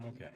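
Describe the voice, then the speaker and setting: A man's voice: fairly bright tone, slight background noise. Tired-throated man coding live, thinking aloud. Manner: halting, thinks aloud with many pauses and um's. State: tired throat after a day of talking. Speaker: game developer streaming live.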